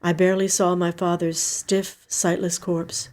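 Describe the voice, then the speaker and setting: A woman reading a short story in the first person, with measured, somber, dramatic narration. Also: on the low side, flat delivery, darkish tone.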